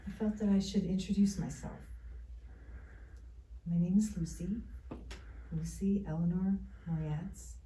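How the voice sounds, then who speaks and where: A woman acting a shy, lonely young woman in a monologue, confessing in a halting, earnest, nervous way, recorded from a distance in a roomy space. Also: medium pitch, warm tone, slight background noise, natural voice. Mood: flustered confessing. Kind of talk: rehearsed film monologue in an acting class.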